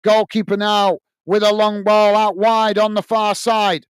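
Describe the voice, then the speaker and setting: A British man with a tiring, slightly hoarse voice giving live play-by-play commentary on a football match for a fan watch-along channel, chatting to viewers as he goes. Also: high voice, unhurried, no room sound, studio quality. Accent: British English. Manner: steady running commentary, talks to viewers as guys. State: voice tired and going hoarse.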